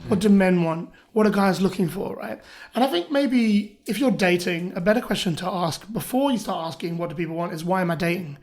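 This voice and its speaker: A man with a cold, his voice pitched higher than usual, talking as a dating coach in a casual podcast chat, firing off rhetorical questions and repeating words as he starts. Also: a little fast, hardly any pauses, darkish tone. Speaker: dating coach and workshop runner.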